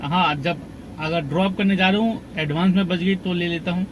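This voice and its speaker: A man's voice: sits high, fairly even intonation, normal speed, noisy background. Chatty young man vlogging from his car. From Bihar, India. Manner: chatty, running through fares and waits in detail. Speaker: taxi driver vlogger. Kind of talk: casual driving vlog talk. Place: in a car, city traffic.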